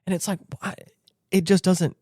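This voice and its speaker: A man's voice: high-pitched, level tone, moderate pace, warm tone, slightly rough, no echo, studio quality. A man co-hosting a casual podcast chat, talking in a rambling, emphatic way and often repeating himself. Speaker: podcast co-host.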